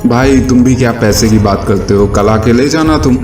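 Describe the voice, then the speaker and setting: A man playing scheming village thieves in an animated children's moral story. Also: medium pitch, normal speed, reverberant, faint music behind, lightly acted.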